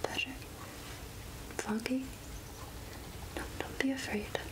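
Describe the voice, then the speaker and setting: A woman playing a gentle, absent-minded witch healer in a soft-spoken ASMR roleplay, half-whispering hesitantly close to the microphone. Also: high voice, fairly even intonation, poor audio. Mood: soothing, concerned. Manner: slow, gentle, hesitant murmuring with repeated phrases. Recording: close-up ASMR with clear background noise.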